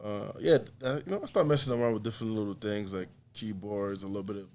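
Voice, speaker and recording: A young man talking casually as a podcast guest, in a relaxed, rambling way with frequent laughs. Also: lowish voice, fast. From USA. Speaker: podcast guest, artist and comedian. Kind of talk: casual conversation.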